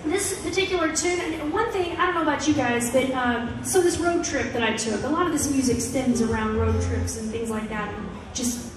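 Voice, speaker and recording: A woman's voice: high voice, moderate pace, far from the mic, noisy background. Chatty woman on an echoey fair stage. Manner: relaxed, rambling, friendly. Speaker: singer. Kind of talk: chatty stage banter between songs. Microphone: stand mic on stage. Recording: echoey live stage sound taped from the crowd. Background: acoustic guitar.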